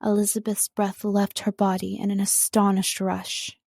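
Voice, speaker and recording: A woman's voice: mid-range voice, completely flat delivery, normal speed, vocal fry, darkish tone, no echo. Dry, close-sounding woman reading an audiobook. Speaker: audiobook narrator, voice-over artist. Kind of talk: scripted audiobook narration.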